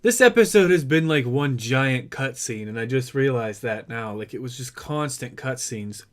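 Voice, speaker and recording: A man's voice: medium pitch, expressive, moderate pace. A man hosting a gaming video with casual, playful let's play commentary. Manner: playful asides, repeats the game's words.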